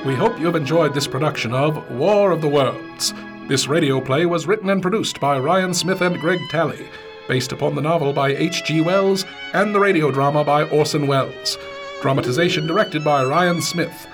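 A man's voice: a little high, normal speed, over music. A man reading scripted closing credits as an announcer for a radio play production.

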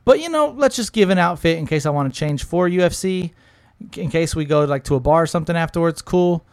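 A man in his thirties in a casual chat with a friend, animated and joking, swearing and saying "dude" often. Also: on the high side, fast talker, no room sound, clean studio sound. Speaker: podcast co-host.

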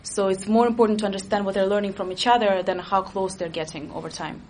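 A woman's voice: mid-range voice, steady tone, a little mellow, a little hiss or hum. A young woman, a native Russian speaker who grew up in Latvia, answering interview questions as an expert, thinking aloud with fillers and restarts. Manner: quick, analytical, with frequent fillers and restarts.

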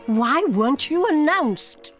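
Imperious period-drama matriarch, querulous, very expressive intonation, music behind. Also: female voice, sits high, slow pace. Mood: indignant, exasperated. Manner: imperious, theatrical. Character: haughty, querulous upper-class mother of a grown son. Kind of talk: period audio drama acting.

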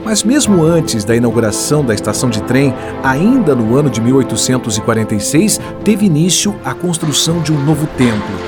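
Brazilian man narrating solemnly over clear music. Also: mid-range voice, very animated, brisk, few pauses. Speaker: radio drama narrator. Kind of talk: solemn devotional scripted narration.

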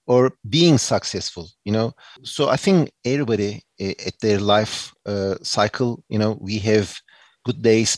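A man's voice: lowish voice, no room sound. Earnest man with a Turkish accent. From Turkey. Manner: earnest, many fillers and restarts. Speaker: interview guest. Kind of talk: reflective interview answers.

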